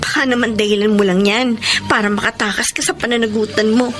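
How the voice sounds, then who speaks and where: High-pitched troubled young Filipina wife, played by a woman. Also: steady tone, fast talker, over music, lightly acted. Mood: accusing. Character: troubled young married woman entangled with an admirer. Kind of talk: Filipino radio drama acting.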